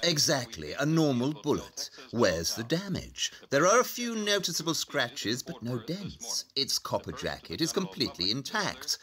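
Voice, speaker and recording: An actor playing a brilliant, sceptical detective in an audio play, speaking precisely and formally. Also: male voice, medium pitch, huge pitch swings, bright, forceful tone. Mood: probing. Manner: precise, formal, theatrical, measured deduction.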